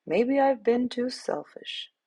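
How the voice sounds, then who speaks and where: A woman's voice: fairly high pitch, animated, takes it slowly, warm tone, slightly rough, voice pushed up, full character voice. A woman voicing a grumbling male bear in a storybook, set in his ways. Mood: sheepish, pondering. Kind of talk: storybook character voice.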